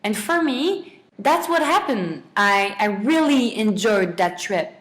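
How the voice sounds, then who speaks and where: A woman's voice: medium pitch, expressive, slow. A young adult woman, a student guest giving semi-prepared answers in a radio interview.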